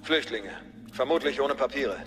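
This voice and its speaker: An actor playing a brisk, casual soldier in a radio play. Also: male voice, medium pitch, a bit bright, big-room sound, natural voice.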